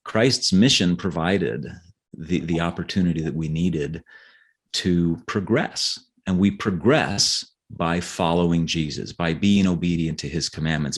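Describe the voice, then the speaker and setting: A man's voice: sits low, husky. A man talking as an author in a conversational podcast interview, earnest and explanatory, often restarting his phrases.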